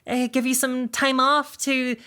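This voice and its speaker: A woman's voice: sits high, little pitch movement, unhurried, bright, forceful tone, no echo, clean studio sound. A woman playing an anxious, persistent doctor who works in communications, hesitant and earnest in a naturalistic audio drama. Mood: anxious, pleading.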